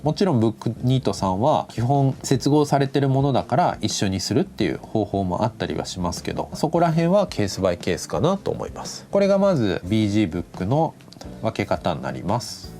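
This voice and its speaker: A man's voice: mid-range voice, big pitch swings, a little fast, dark tone. A man giving an instructional lecture in casual polite speech, explaining step by step and thinking aloud as he goes. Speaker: animation director giving a lecture. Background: background music.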